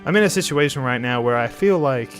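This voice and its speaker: A man's voice: mid-range voice, expressive, fast talker, faint music behind. A man hosting his art channel in casual talk over a speed drawing, a bit nasal and under the weather, rambling with many restarts. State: slightly sick.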